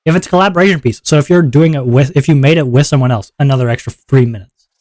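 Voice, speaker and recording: A man's voice: fast, no echo, clean studio sound. A man streaming live, explaining his event's rules to chat in a casual, upbeat way and checking in with viewers often. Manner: relaxed lower voice after a viewer redeem.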